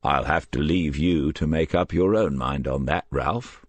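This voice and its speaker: A man voicing a calm police sergeant in a crime audiobook, speaking in a measured, tactful, dry way. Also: rumbling low, no echo.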